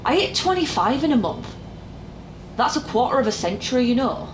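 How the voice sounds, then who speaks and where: A woman's voice: sits high, very animated, normal speed, slight background noise. A young woman playing a jaded, sarcastic call-centre worker in her twenties in an audio drama. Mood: despondent, teasing. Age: young adult. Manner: informal, dry sarcasm. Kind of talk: naturalistic audio drama acting. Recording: roomy, music underneath.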